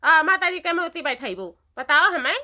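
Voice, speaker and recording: Woman playing a bright, high-voiced old village mother-in-law. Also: very animated, normal speed, full character voice. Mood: nagging. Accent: eastern Uttar Pradesh. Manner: questioning, repetitive, instructing. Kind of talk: cartoon dialogue.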